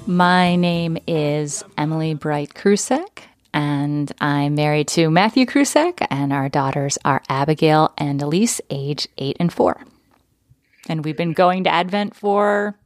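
A woman in her thirties from New England tells her life story as a podcast guest, with a slightly low voice. She talks in chatty, quick bursts and laughs easily. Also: lively intonation. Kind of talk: casual interview storytelling.

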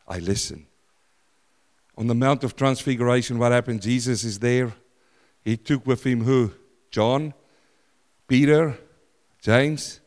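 A man preaching a sermon in a church, loud and emphatic, swinging from forceful declarations to hushed, quiet lines. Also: mid-range voice, a little slow, pauses often. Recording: long hall reverb.

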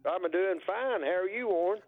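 A man's voice: sits high, normal speed. A man calling in to a radio show, friendly and hesitant, with many uhs. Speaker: caller. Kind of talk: call-in radio chat. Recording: tinny phone line.

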